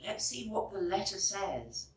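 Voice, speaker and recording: A woman narrating a children's storybook expressively, phrasing clearly for young listeners, like a teacher reading aloud. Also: mid-range voice, very animated, measured pace. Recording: roomy echo, distant microphone.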